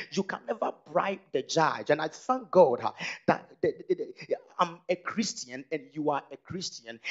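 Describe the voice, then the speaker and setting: A man preaching on stage into a handheld mic, calling out to the congregation and building to loud exhortation. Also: fairly high pitch, muffled recording. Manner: call-and-response preaching, rising to loud exhortation. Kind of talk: sermon. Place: large stage hall with podium.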